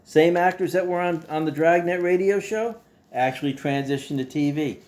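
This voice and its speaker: A man hosting a casual monologue to his viewers in a folksy, playful way, halting and repeating himself as he goes. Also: a little high, darkish tone. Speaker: host.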